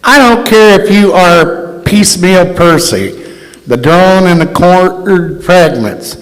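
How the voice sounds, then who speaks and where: A man acts a cranky, drowsy fellow with a high, animated voice. He sounds folksy and irritated, in old time radio style, in a very echoey hall. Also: takes it slowly. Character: grumpy, sleepy man, unimpressed by ghosts. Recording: echoey hall, live stage performance.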